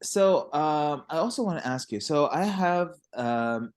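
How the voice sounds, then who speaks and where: A man's voice: on the high side, expressive, unhurried. A man in his twenties, a native Arabic speaker, talking conversationally as an interviewer. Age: 20s. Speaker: interviewer, English teacher.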